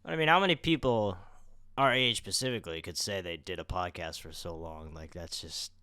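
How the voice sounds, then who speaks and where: Young male podcast co-host, low clean voice, dry sound, chatty with announcer touches. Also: normal speed, bright, forceful tone, clean tone, no room sound. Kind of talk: casual podcast chat.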